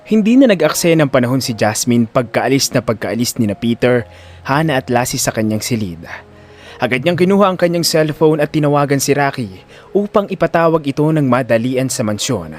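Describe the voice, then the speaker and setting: Masiglang lalaking tagapagsalaysay ng drama. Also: male voice, medium pitch, expressive, a little fast, faint music behind. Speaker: audio drama narrator. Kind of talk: scripted dramatic story narration.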